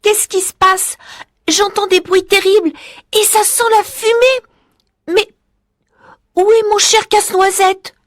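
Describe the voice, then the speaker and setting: A woman voicing a curious, anxious young girl in a storybook reading, with a high, wide-eyed, excited delivery. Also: unhurried, pauses often, dry recording, studio quality.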